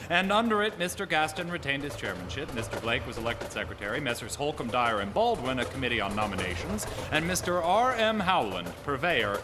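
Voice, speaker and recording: A man acting as a grave storyteller, narrating theatrically in a live radio drama with measured delivery. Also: medium pitch, lightly acted. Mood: brisk, wry. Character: grave male storyteller recounting a snowbound train disaster. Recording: live theater hall, long reverb, music.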